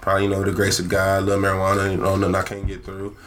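Fast-talking man, nasal from a cold. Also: low-pitched, completely flat delivery, crowd noise. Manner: rambling, swearing storyteller. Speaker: podcast co-host. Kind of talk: casual podcast chat.

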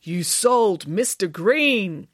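Woman doing a slow, spooky boy ghost. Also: mid-range voice, animated, fairly bright tone, dry recording, studio quality, pitched above the performer's own voice. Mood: firm. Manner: slow, mysterious intoning. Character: boy posing as solemn, spooky Easter ghost.

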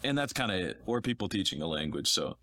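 Low-voiced young man, playful. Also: sing-song, fast, bright, forceful tone, no room sound. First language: English. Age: 20s. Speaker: guest. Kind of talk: casual podcast conversation.

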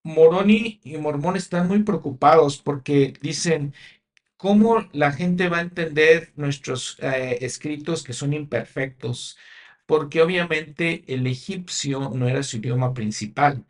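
A man's voice: fairly high pitch, measured pace. A Spanish-speaking man hosting a religious podcast, explaining history in a teaching manner, with frequent fillers and restarts. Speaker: podcast host.

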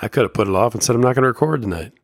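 A man's voice: mid-range voice, rapid-fire, gravelly, dry recording, clean studio sound. A man hosting a solo podcast, talking candidly and motivationally, his voice slightly scratchy from a summer cold. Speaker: podcast host.

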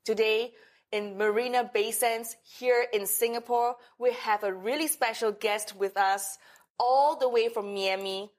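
A woman's voice: on the high side, steady tone, unhurried. A woman hosting a talk show, introducing and questioning her guest in an upbeat, promotional manner. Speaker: host.

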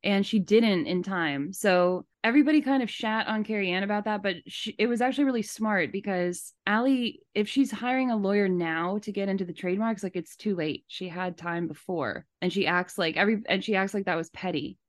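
A woman gives casual, opinionated podcast commentary. She speaks emphatically and in long runs at a middling pitch, close to the microphone with no room sound. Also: brisk, hardly any pauses, dry recording. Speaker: podcast host.

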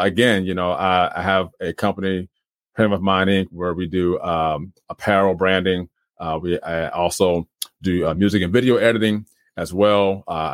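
A man, a guest on a podcast, talking casually about himself with many pauses. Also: low voice, dry recording, studio quality. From Trenton, New Jersey. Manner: relaxed, many fillers and uhs. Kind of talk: casual interview talk.

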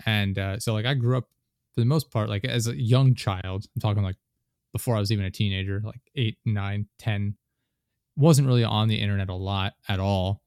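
A man's voice: lowish voice, steady tone, normal speed, a little mellow, clean tone, no room sound, clean studio sound. A man in his late twenties hosting a casual podcast chat, rambling with many fillers and restarts. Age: 20s. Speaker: podcast host.

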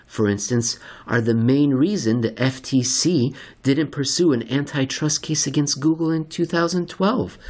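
A man narrating a scripted article as a podcast host, with theatrical, emphatic delivery and deliberate phrasing. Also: mid-range voice, darkish tone.

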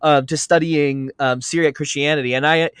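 A man's voice: fairly high pitch, steady tone, moderate pace, a bit bright, smooth, dry recording, studio quality. A man hosting a podcast, interviewing in a casual, conversational way.

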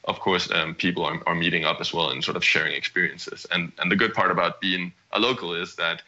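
Young male startup founder from Denmark, low pitch, halting delivery, lo-fi recording. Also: fairly even intonation, sharp tone. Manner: halting, often restarts phrases. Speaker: startup CEO guest. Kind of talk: conversational interview answer.

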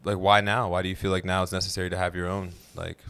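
A man's voice: low-pitched, level tone, fast, a bit bright, clear, resonant tone. A man hosting a casual interview, chatty and encouraging. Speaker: interview host.

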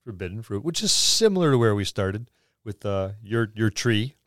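A man's voice: lowish voice, big pitch swings, normal speed, a bit bright, dry recording, studio quality. A man co-hosting a podcast, chatting casually about tabletop roleplaying with wry quips. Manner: wry, quipping. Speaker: podcast co-host.

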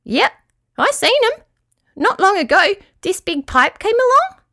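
A woman voicing a small, shy male yabby in a squeaky little storybook voice with clipped, childlike replies. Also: very animated, unhurried, pushed and bright, heavily acted. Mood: matter-of-fact.

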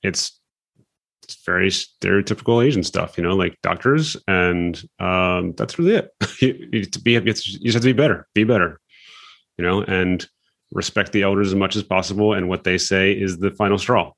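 A man in casual conversation, relaxed and affirming, chatting along with plenty of filler words. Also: sits low, normal speed, creaky.

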